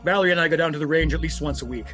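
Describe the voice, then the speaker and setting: A man's voice: on the high side, racing, a bit bright, soft background music, lightly acted. A man playing a grieving, weak-willed wealthy husband in a retro radio detective drama, hesitant and subdued.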